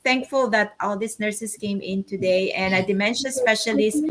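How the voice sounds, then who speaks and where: A middle-aged woman with a Filipino accent hosting an online panel casually, speaking in a halting way. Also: mid-range voice, heavy background noise. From Philippines. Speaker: podcast host, nurse.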